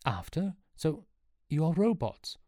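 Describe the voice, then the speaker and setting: A man's voice: medium pitch, expressive, normal speed, dark tone, dry recording, clean studio sound, close to an everyday voice. A man voicing an anxious young male hero in a story, urgent and insistent as he pleads and questions. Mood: incredulous questioning.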